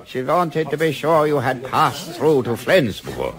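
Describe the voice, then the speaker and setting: A man playing a courteous, chatty German harbour official with a German accent in a radio play, formal yet expressive. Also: fairly high pitch, unhurried. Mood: amused. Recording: crowd bustle behind the voice.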